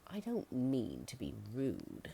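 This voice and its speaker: A woman voicing a weak, hungry rich male traveler in a hushed whisper for a storybook reading. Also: extremely low pitch, huge pitch swings, takes it slowly, gravelly, a little hiss or hum, voice pushed up, exaggerated voice. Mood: weary.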